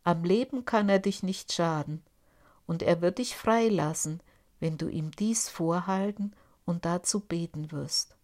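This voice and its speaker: A woman's voice: fairly low pitch, a little slow, natural voice. A woman whispering as a tiny glass forest spirit. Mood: hushed. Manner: hushed, whispery, secretive. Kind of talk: audiobook character voice.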